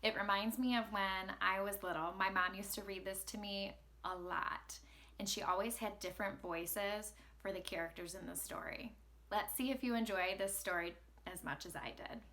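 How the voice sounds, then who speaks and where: A woman presents a read-aloud for children in an informal way. Her voice is bright and forceful, with a middle pitch. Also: fairly even intonation, normal speed. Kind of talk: informal introduction to a children's story.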